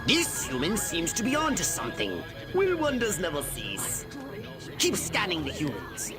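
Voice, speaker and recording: A man's voice: sits high, wildly swooping pitch, normal speed, music in the background. A man voicing a scheming, condescending alien commander in a video game, with pompous, theatrical delivery. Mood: sardonic. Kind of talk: video game cutscene acting.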